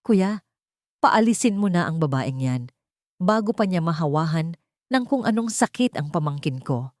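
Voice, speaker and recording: A woman's voice: fairly low pitch, expressive, moderate pace, a little mellow, no echo, natural voice. Haughty rich Filipina sister for an audiobook drama, played by a female narrator, contemptuous and cruel, dry sound. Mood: scornful. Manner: mocking, contemptuous.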